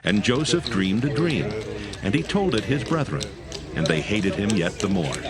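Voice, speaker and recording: A man's voice: fairly low pitch, very animated, moderate pace, over a noisy crowd. A man narrating a dramatized Bible story in a solemn storytelling style. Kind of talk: dramatic scripted Bible reading.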